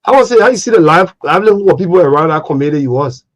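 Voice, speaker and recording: A man's voice: on the high side, lively intonation, very fast. A man hosting a casual interview, often stammering into his questions and answering with "wow". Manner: stammering restarts, frequent "wow". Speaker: talk show host. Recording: dull lo-fi sound.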